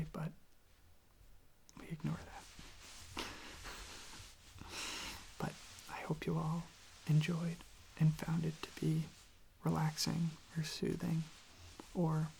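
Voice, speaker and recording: A soft-spoken ASMR host rambling casually in a gentle, hesitant, self-deprecating way, with a breathy, husky, whispery voice close to the microphone. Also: mid-range voice, a little hiss or hum, poor audio. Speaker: host of a relaxation channel showing records.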